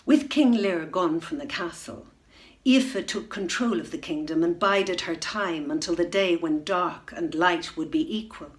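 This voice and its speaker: A middle-aged woman reading a children's bedtime story, unhurried and expressive, dropping to a hush at tense moments. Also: fairly low pitch. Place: room at home, indoors. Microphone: webcam facing the reader.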